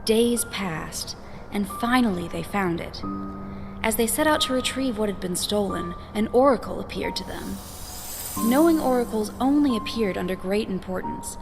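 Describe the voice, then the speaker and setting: A woman narrating a scripted fantasy story in a solemn, deliberate, dramatic way. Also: medium pitch, creaky. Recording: reverberant hall, music behind.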